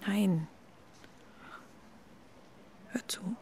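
A woman's voice: medium pitch, very slow, dark tone, a little hiss or hum. A woman playing an anxious, earnest ex-girlfriend from East Berlin, hesitant and confessional, in a radio crime drama. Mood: anxious, confessing. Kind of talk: intimate radio drama dialogue.

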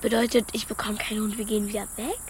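A woman's voice: mid-range voice, little pitch movement, fast, a little hiss or hum, close to an everyday voice. A woman playing a frank, stubborn young schoolgirl in a naturalistic children's radio drama, speaking plainly. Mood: matter-of-fact.

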